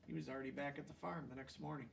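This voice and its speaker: A man reading a children's picture book aloud, deliberately, with simple explanatory asides in plain words. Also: medium pitch, fairly even intonation, gravelly, distant mic, a little hiss or hum. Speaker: storybook reader.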